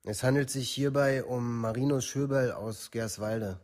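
A man's voice: medium pitch, a little slow, darkish tone, close to an everyday voice. Radio drama: young male suspect played by a man, terse, detached statement, mid-range voice. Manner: terse, detached, formal recitation. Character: teenage male murder suspect giving flat police statement.

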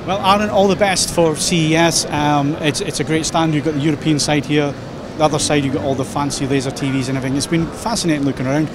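A man's voice: on the high side, steady tone, fast. A man with a Scottish accent interviewing a guest at a trade show, asking chatty, informal questions. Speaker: tech website interviewer. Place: busy trade show hall. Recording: noisy trade show floor. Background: crowd chatter and hall noise.

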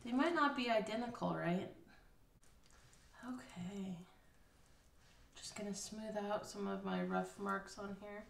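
A woman's voice: medium pitch, slow, gravelly, long pauses. A woman casually explaining a craft step by step at a table, thinking aloud with fillers. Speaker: craft instructor. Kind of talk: casual hands-on craft tutorial. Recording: roomy, slightly distant.

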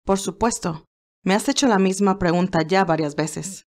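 Woman playing a formal gentleman's aide. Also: lowish voice, moderate pace, close to an everyday voice. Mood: calm, reassuring.

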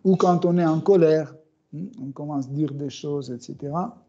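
A middle-aged man giving a scripture class in a small room, speaking slowly and hesitantly with many fillers and soft chuckles. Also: a little high. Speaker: spiritual teacher. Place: small room with bookshelves, indoors. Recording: dull, muffled recording.

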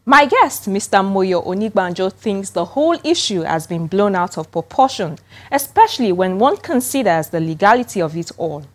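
A woman's voice: medium pitch, very animated, normal speed, hardly any pauses, dry recording. A woman in her thirties with a Nigerian accent, presenting and interviewing for a television news programme. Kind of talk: scripted news narration and interview.

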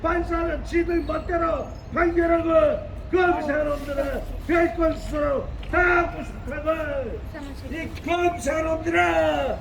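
Shrill, slow-shouting angry man. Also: creaky, background noise. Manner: yelling insults, drawn-out angry cries. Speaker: street protester. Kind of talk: shouted protest rant.

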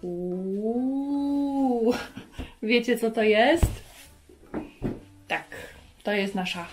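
A woman in her thirties hosting a children's lesson, talking to young viewers in an animated way and asking them questions. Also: fairly high pitch, extremely slow, noisy background. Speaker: children's Bible lesson host. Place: carpeted room at home.

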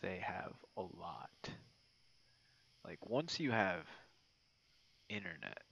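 A man talks at a very slow pace with a lot of creaky fry in his voice, rambling casually and stopping and starting as if chatting late at night. The audio is slightly dull and muffled. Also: sits low, very animated, a bit bright. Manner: rambling, halting, informal. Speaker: ASMR roleplay creator.

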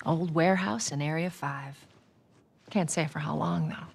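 An American actress playing a tough, cynical smuggler in a video game, speaking bluntly and dryly in a naturalistic style. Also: female voice, sits low, normal speed, gravelly. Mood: brisk, tough. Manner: blunt, dry, streetwise. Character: tough, cynical woman smuggler, middle-aged, wry and assertive.

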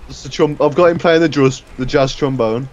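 A man's voice: medium pitch, a little fast, darkish tone, highs cut off. A young man making casual remarks to friends while playing a video game. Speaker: teammate in gaming video. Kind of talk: casual gaming chat.

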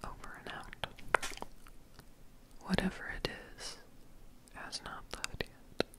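Slow whispering young woman, ASMR. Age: 20s. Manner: hushed, halting, drawn-out delivery. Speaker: ASMR video creator. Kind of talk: whispered ASMR, roleplayed paranormal video diary. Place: small indoor home studio room. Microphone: close desktop mic with foam windscreen.

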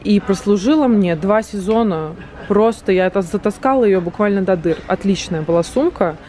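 A woman's voice: mid-range voice, normal speed, mellow, a little hiss or hum. A young woman from Latvia chatting animatedly to her viewers, filmed on a phone held at arm's length on a street, in shops and in a car. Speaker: vlogger. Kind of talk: casual vlog to camera. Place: city street and shop, then parked car. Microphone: phone camera at arm's length.

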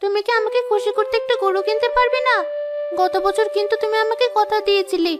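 A woman plays a young cartoon girl with an extremely high voice. She sounds earnest and sensitive, with a plaintive way of talking. Music runs clearly underneath. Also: fairly even intonation, fast talker. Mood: pleading, insistent. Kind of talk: cartoon voice acting, moral story.

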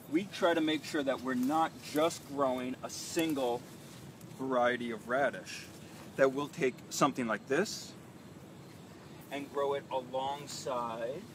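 A man's voice: medium pitch, fairly bright tone. A man in his thirties, a farm manager, cheerfully explaining vegetables while walking through an outdoor garden, filmed on a phone. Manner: enthusiastic, explanatory, walking and pointing at plants. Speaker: urban farm manager giving a tour. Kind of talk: live guided tour. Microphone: phone camera a few feet away. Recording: outdoor phone recording with noise.

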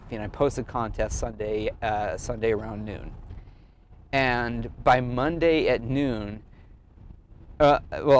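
A man talking casually in an earnest, halting way, thinking aloud as he tells a personal story in an interview. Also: mid-range voice. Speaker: amateur cyclist and blogger being interviewed.

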